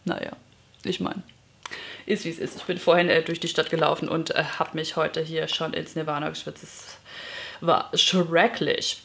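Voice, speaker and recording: A woman's voice: deep voice, normal speed, creaky. Chatty self-mocking woman in her thirties. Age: 30s. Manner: chatty, self-mocking, animated hand gestures. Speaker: vlogger. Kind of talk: casual chat to camera. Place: bright living room indoors.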